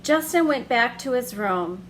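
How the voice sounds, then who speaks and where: A woman hosting a children's story hour, reading a picture book aloud in a gentle, expressive way with drawn-out emphasis. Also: a little high, slight background noise.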